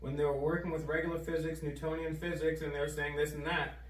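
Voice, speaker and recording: A man's voice: a little high, little pitch movement, quick pace. A man co-hosting a casual chat, making wry joking asides between serious points. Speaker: podcast co-host. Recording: roomy echo, distant mic, faint noise.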